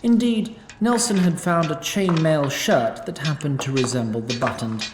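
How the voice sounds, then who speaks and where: A man's voice: fairly high pitch, moderate pace, background noise, natural voice. A man voicing a snarky, timeless male sprite who narrates an audio drama with opinionated asides. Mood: wry. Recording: roomy echo.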